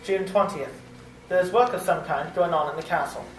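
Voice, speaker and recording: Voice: mid-range voice, brisk, natural voice. An actor playing an earnest, frightened English traveller held prisoner, telling the tale dramatically in an old-time radio drama. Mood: grim. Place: live performance at a public library. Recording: roomy, distant, noisy live recording.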